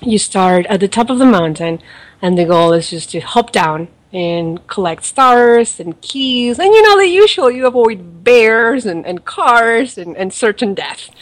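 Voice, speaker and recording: A woman's voice: fairly high pitch, expressive, unhurried. A woman with an accent, chatting casually on a podcast and rambling with fillers and laughs as she reviews a mobile game. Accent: non-native accent, origin not stated. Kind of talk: casual chat.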